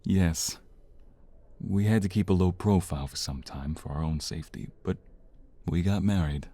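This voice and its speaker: An actor playing an earnest, tender young mobster son, a little anxious, in an audio roleplay. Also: male voice, deep voice, normal speed, mellow, no echo. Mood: apologetic.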